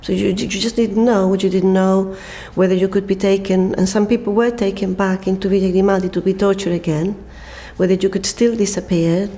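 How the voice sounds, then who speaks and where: A woman's voice: mid-range voice, fairly even intonation, brisk, few pauses, dark tone. An older woman with a Chilean accent, a native Spanish speaker, recounting her own experience as a documentary interviewee. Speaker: documentary interviewee, former political prisoner. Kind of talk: personal testimony.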